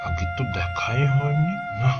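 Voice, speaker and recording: A man's voice: medium pitch, animated, moderate pace, lightly acted. A man playing an earnest young villager and dutiful son in a children's cartoon. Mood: eager. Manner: earnest, talks to himself aloud. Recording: dull lo-fi sound, loud music.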